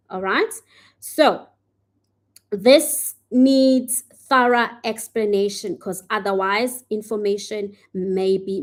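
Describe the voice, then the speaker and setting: A woman hosting a live stream, answering viewer questions in a casual way and often checking in with "all right?" and "okay?". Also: on the high side. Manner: says she tends to speak too fast.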